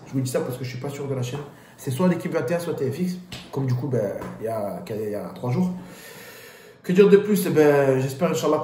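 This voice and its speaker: Guy ranting about football, rapid slangy street talk, echoey room. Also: a little high, mellow, slight background noise. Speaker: football YouTuber. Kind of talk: casual pre-match football rant.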